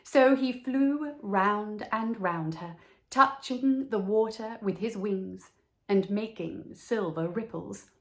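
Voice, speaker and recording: A woman narrating a children's story from a script, with measured, deliberate phrasing. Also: medium pitch. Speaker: storybook reader.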